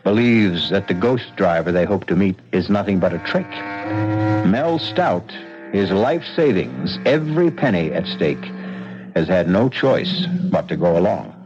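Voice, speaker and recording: A man's voice: low voice, lively intonation, unhurried. A man hosting an old radio mystery drama, speaking straight to the listeners and narrating the story with drama. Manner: addresses listeners directly, dramatic. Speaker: show host narrator. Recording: dull old radio recording with music.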